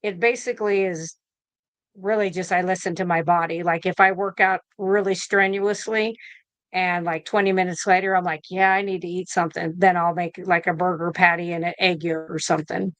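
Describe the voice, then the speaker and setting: A woman's voice: lowish voice, fairly even intonation, fairly quick, fairly bright tone, dry recording. A woman in her fifties, a nurse answering questions in a casual video-call interview, rambling with fillers and restarts. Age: 50s. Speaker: guest nurse.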